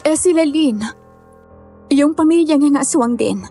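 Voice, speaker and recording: A polite young woman played by an actress speaks deferentially as a survivor in a horror drama. Her voice is fairly high and soft-toned, over faint music. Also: moderate pace. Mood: puzzled. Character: grateful, questioning young woman, monster survivor. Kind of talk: horror audio drama dialogue.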